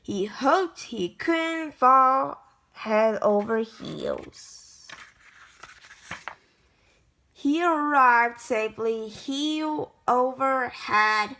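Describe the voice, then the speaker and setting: A woman with a high, bright voice reads a children's storybook aloud. She goes very slowly and haltingly, often stumbling over the words as an amateur reader does. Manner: halting, stumbling word-by-word reading. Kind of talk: children's picture book read-aloud.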